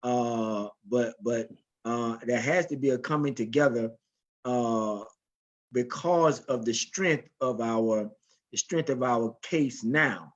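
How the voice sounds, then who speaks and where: A man on a panel over a video call, speaking earnestly but haltingly with many fillers. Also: medium pitch, highs cut off. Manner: earnest, halting, frequent uh's.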